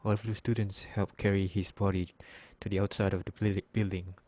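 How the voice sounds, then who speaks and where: A man's voice: deep voice, little pitch movement, brisk, mellow, no room sound. A man narrating a scripted dramatic story as part of a reader's theater presentation.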